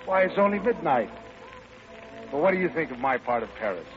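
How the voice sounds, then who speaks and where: An actor playing a suave, playful romantic gentleman, urbane and teasing, in an old-time radio drama. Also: on the high side, sing-song, fairly quick. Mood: flirtatious. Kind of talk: 1940s-style radio play acting. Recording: muffled, noisy old radio broadcast.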